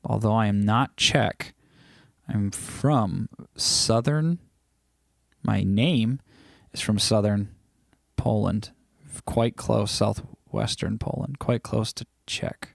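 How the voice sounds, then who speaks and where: A man's voice: fairly low pitch, slow pace, gravelly, dry recording, clean studio sound. A man hosting a casual livestream, chatting loosely and teasing his viewers as he reads their comments aloud. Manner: rambling with frequent restarts. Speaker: livestream host.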